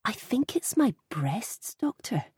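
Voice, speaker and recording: A woman playing an earnest, socially awkward office worker in an audiobook, with articulated, deliberately paced speech. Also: fairly high pitch, very animated, darkish tone, husky, no room sound, studio quality, full character voice. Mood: earnest, frank. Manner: articulated, studied pacing, earnest. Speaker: audiobook narrator. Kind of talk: first-person audiobook narration.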